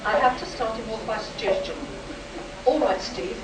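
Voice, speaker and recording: An actress playing a skeptical, clinical army psychiatrist in a live sci-fi radio play, questioning with authority. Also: female voice, fairly high pitch, normal speed, lightly acted. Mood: commanding. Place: live performance in a room at a convention. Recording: roomy, distant, noisy live convention stage recording.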